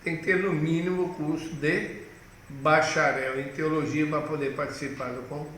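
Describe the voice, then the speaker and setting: A middle-aged man teaching as a pastor, in a higher, bright, forceful voice. He chats straight to the viewer and acts out the questions of imagined students. The sound has a strong room echo and a faint hiss behind it. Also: steady tone, normal speed. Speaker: pastor and course director. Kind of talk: online course lesson.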